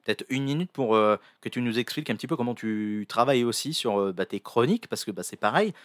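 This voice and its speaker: A man hosting a radio show, guiding the interview with brisk questions. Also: medium pitch, expressive, no echo.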